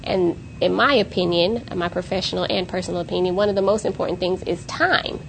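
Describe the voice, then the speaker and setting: A woman's voice: fairly low pitch, steady tone, fairly quick, gravelly, a bit bright, a little hiss or hum, poor audio. A woman co-hosting a podcast, talking about relationships in an emphatic way and building up to pointed exclamations. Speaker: co-host. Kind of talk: casual podcast discussion.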